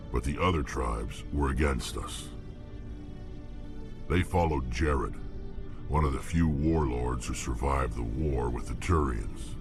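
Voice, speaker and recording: An actor playing a blunt, hulking male alien mercenary who speaks slowly and gruffly. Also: extremely low pitch, heavily acted. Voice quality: gravelly. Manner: slow, blunt, growling. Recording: game audio with music under it.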